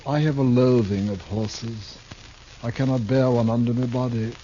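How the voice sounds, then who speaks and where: An actor playing a florid stage thespian. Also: male voice, medium pitch, fairly even intonation, normal speed, warm tone, a little hiss or hum, natural voice. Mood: theatrical. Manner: florid, theatrical, formal. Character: tall skinny man, grand theatrical stage actor. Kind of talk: 1950s radio drama acting. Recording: dull lo-fi old radio recording.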